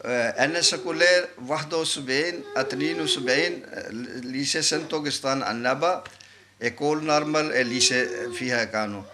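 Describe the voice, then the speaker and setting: A man originally from Pakistan, telling his story in a casual, fragmented way as an interviewee. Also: medium pitch. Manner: quick, fragmented, with laughs. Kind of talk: casual recollection.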